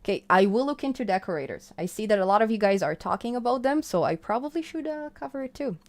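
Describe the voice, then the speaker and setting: A woman's voice: a little high, expressive, quick pace, darkish tone. A woman with a Hebrew accent hosts her own live stream in a loose, chatty way. She reads the chat as it scrolls by and answers her viewers with lots of uh's and restarts. She pours out thanks to them. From Israel. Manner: chatty and effusive, gushing with thanks, many fillers and restarts. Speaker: channel host.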